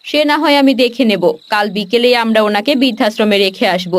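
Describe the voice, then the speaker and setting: Woman playing a high-pitched, scornful, domineering wife. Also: fairly quick, natural voice. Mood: fed up, scheming. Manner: scolding, sharp-tongued. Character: harsh, scornful, domineering daughter-in-law.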